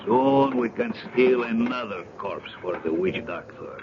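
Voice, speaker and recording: Radio horror villain: sinister grave robber, low, unhurried delivery, noisy old broadcast sound. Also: male voice, creaky, dull audio. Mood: commanding. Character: sinister grave robber serving a Caribbean witch doctor. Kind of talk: radio horror drama acting.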